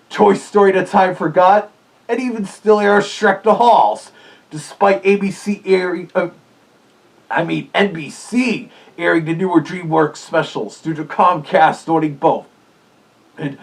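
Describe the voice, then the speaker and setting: A reviewer giving casual, scripted commentary in the character of a fuzzy cartoon animal, opinionated and prone to rambling asides. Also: mid-range voice. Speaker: video reviewer.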